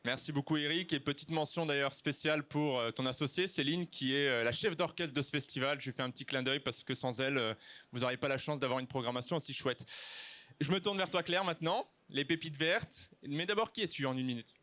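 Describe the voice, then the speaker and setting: Male panel moderator, slightly high voice, informal and chatty, addressing a live audience. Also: a little fast, bright, forceful tone. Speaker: host and moderator of a conference panel. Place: live festival stage with audience.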